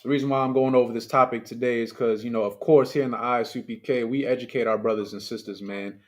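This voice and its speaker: A man delivering a casual sermon over a livestream, talking alone into his mic. His pitch sits in an ordinary middle range. He speaks loosely and chattily, full of asides, and stresses his points with rhetorical questions aimed at the people watching. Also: fairly even intonation, fast. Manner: conversational and emphatic, with rhetorical questions. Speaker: preacher leading a livestreamed service. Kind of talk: informal streamed sermon.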